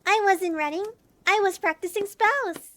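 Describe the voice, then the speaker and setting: A woman's voice: very high-pitched, moderate pace. A woman voicing an eager, stubborn little boy learning magic in a fantasy audio drama, earnest and insistent. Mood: defensive. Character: eager, stubborn young boy, apprentice mage.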